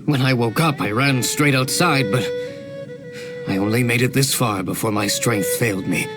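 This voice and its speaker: An actor playing an earnest, weakened but grateful hunter in a video game, speaking in a measured way. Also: male voice, medium pitch, close to an everyday voice. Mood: relieved, recounting. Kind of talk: scripted game voice acting. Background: music.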